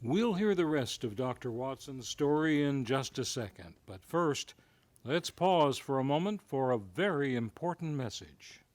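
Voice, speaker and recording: A man's voice: medium pitch, expressive, unhurried, a little mellow, dry recording. A man announcing a break in a scripted radio style.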